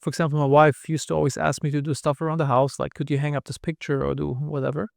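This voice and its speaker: Candid German-accented founder, slightly high gravelly voice, dry close mic. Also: male voice, little pitch movement, fast talker, no room sound. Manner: candid, storytelling, self-deprecating. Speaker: podcast guest, founder. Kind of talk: casual interview talk.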